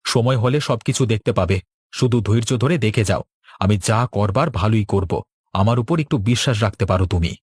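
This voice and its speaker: A man voicing a determined, patient young farmer in a cartoon, earnest and steady. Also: on the low side, a little fast, slightly rough, dry recording, studio quality. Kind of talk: cartoon dialogue.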